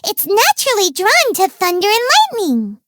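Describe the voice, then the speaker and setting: Tiny, very high squeaky fairy companion. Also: female voice, huge pitch swings, moderate pace, fairly bright tone, no room sound, studio quality, heavily acted. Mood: chatty, curious. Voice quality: squeaky, childlike. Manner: cutesy, refers to self in third person. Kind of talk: video game voice acting.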